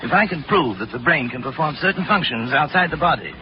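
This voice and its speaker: A man's voice: fairly high pitch, animated, fast, background noise, natural voice. Man acting an obsessed scientist in old radio drama. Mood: defiant, fascinated. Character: obsessed, arrogant man of science, cold and excitable. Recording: dull 1940s radio recording with music.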